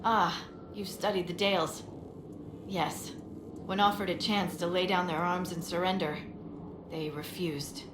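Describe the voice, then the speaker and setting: A woman's voice: on the low side, fairly even intonation, a little slow, lightly acted. A woman playing a wary, businesslike military scout in a fantasy game, briefing in a matter-of-fact tone. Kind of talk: scripted game dialogue. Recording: echoey, clearly noisy game capture.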